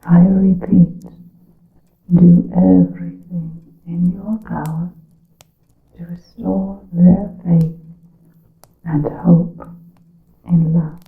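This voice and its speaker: A woman performs a whispered ASMR monologue as a solemn witch. She speaks very slowly and breathily, with crackling fry at the edges. The sound is muffled and lo-fi, with noticeable echo around her hushed words. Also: little pitch movement. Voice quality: breathy whispering mixed with soft low voice. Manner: slow, hushed, theatrical, archaic storybook phrasing. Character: grave witch counseling her coven in secret. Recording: muffled lo-fi, echoing room.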